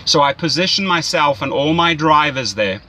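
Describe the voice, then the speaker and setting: A man's voice: a little high, lively intonation, normal speed, pushed and bright, a little hiss or hum, low-quality recording. A man giving casual, enthusiastic, salesy coaching as a rideshare mentor, often checking in with "right?". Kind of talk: casual coaching phone call.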